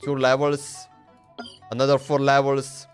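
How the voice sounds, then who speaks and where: A man's voice: medium pitch, monotone, a little slow, faint music behind. A man streaming a game, chatting loosely and laughing, often saying "bro" and "man.". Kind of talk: casual livestream chat while playing.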